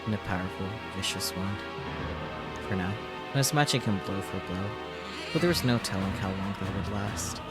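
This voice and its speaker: A man reading visual novel text aloud as a streamer, in dramatic, intense narration with measured short phrases. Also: low-pitched, expressive. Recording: music behind the voice. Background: loud game music.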